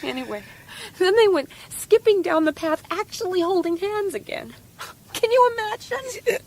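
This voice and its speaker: High, animated, feisty woman. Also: moderate pace. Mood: indignant. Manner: theatrical, exclamatory, wry. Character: feisty, wry, complaining young woman captive on the run. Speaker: actor in an audio drama. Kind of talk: radio drama acting. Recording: noisy sound-effect backdrop.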